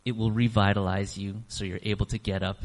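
A man's voice: on the low side, level tone. Earnest male pastor in a reverberant church. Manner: earnest, varies emphasis, slows on key phrases. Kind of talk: sermon. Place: church sanctuary. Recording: long reverb of a church hall.

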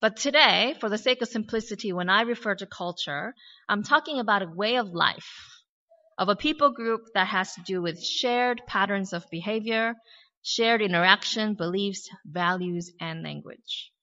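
A woman's voice: medium pitch, moderate pace, pushed and bright, big-room sound. A woman pastor with an American accent giving a conversational sermon, reflective and full of questions, with light humorous asides. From born in Korea, raised in the US. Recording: dull lo-fi recording.

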